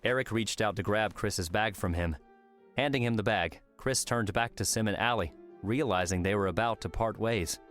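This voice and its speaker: Low-voiced man narrating a novel. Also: normal speed. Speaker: audiobook narrator. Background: soft music.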